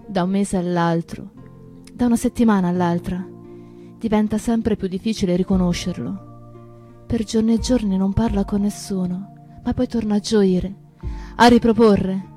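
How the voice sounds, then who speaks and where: A woman's voice: mid-range voice, fairly even intonation, a little slow, mellow, lightly acted. Actress as reflective woman storyteller over music. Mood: sorrowful, reflective. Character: grieving, reflective woman, close companion of an activist. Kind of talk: dramatic first-person storytelling in a radio play. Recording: dry studio with music.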